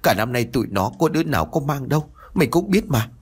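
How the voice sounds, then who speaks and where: A man's voice: mid-range voice, animated, fast, slightly rough, pitched above the performer's own voice. A man voicing a guilty, frightened old lord who stammers and pleads, in a spoken horror story. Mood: nervous, pleading.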